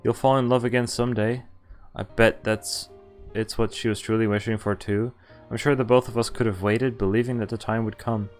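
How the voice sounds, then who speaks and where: A man's voice: fairly low pitch, flat delivery, a little fast. Man reading a visual novel aloud, music behind. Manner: dramatic read-aloud broken by incredulous asides. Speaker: game streamer. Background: game music.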